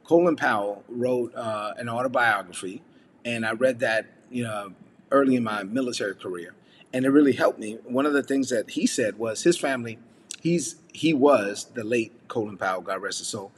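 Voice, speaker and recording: A man's voice: mid-range voice, normal speed, long reverb tail, a little hiss or hum. A man from the United States giving a casual devotional message, talking conversationally with frequent fillers and rhetorical questions. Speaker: preacher.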